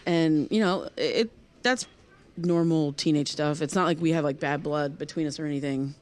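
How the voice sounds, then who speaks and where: A woman's voice: low voice, fairly even intonation, natural voice. Hesitant, guarded young woman, played by an actress. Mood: reflective, uneasy. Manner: hesitant, halting. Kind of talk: naturalistic audio drama dialogue.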